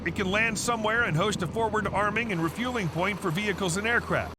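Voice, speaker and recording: Brief: male news narrator, high-pitched, formal, over loud vehicle noise. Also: quick pace. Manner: even, formal, informational delivery. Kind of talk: scripted narration.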